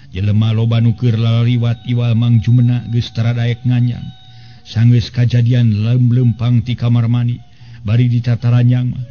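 A man with a Sundanese accent telling a serial folk tale as a dramatic storyteller. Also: mid-range voice. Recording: slightly dull sound, music bed. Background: music.

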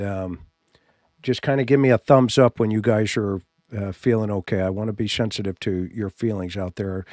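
A middle-aged man hosting a livestream, talking to his audience in a halting, gentle way, close to a studio microphone. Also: on the low side, no room sound. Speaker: livestream host. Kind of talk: live commentary to an audience. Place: small indoor home studio. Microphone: studio microphone on boom arm, close.

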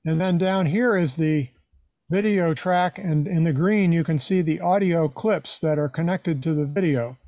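A man's voice: on the high side, unhurried, warm tone, no room sound. An older man giving an informal live tutorial, explaining things in a conversational way. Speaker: presenter, hobbyist teaching video editing.

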